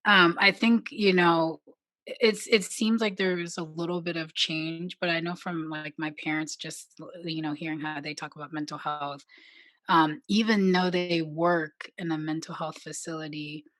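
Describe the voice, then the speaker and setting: A woman with a low voice, speaking as a panel guest. She talks hesitantly, full of fillers and self-corrections, with a light laugh. The sound is dry and close. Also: steady tone, moderate pace, a bit bright, no echo. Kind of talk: casual panel conversation.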